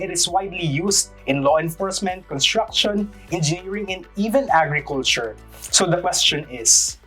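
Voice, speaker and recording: A woman's voice: sits low, poor audio. A Filipino woman hosting a webinar, polite and playful, switching between English and Tagalog. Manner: mixes English and Tagalog, polite, laughs often. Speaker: webinar host.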